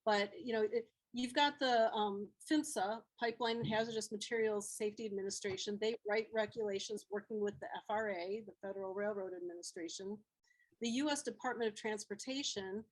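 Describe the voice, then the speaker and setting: A woman activist speaks on a video call at a medium pitch. She explains things informally and hesitantly, stopping and restarting with plenty of fillers. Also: a little fast, poor audio. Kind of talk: informal talk answering questions.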